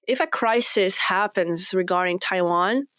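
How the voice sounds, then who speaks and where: A young woman from Morocco speaks as an interview guest, measured and full of ums and small restarts. Her voice is smooth and clear at a middle pitch. The recording is dry, with no room sound. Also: fairly bright tone, no room sound. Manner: measured, many fillers and restarts. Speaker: research fellow guest. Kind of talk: interview answers.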